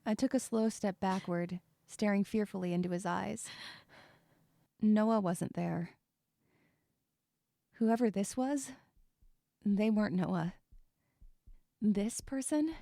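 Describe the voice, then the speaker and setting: A woman's voice: medium pitch, slow pace, vocal fry, pauses often, dark tone, no echo, studio quality. A woman playing an anxious, withdrawn young woman who narrates her own story in a dramatic, introspective and sometimes hesitant way. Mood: fearful narration. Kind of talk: first-person dramatic narration with dialogue.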